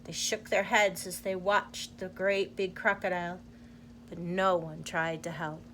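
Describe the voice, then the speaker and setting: A woman reading a children's storybook aloud in an expressive, deliberate storyteller's manner. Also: fairly low pitch, a little hiss or hum.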